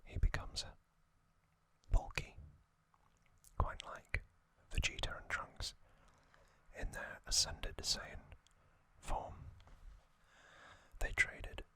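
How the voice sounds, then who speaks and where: A man narrates in a crisp, bright whisper for ASMR. He moves very slowly and deliberately, breaking his scripted story into short hushed phrases. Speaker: ASMR host.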